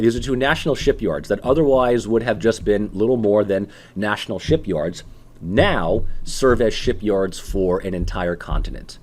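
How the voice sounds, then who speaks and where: A male lecturer talks through politics in a loose, conversational style. His pitch swings widely as he poses rhetorical questions and tosses off quick imitations of everyday people, and he keeps coming back to "you know" and "um". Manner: animated, acts out voices of ordinary people. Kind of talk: recorded university lecture.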